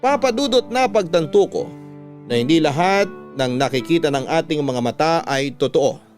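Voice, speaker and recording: An actor with a Filipino accent narrating a first-person radio story as an earnest, reflective, confessional storyteller. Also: male voice, on the high side, animated, darkish tone, soft background music, close to an everyday voice. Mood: reflective, regretful. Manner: measured, sincere, confessional. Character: earnest, reflective male storyteller recalling a troubled former lover.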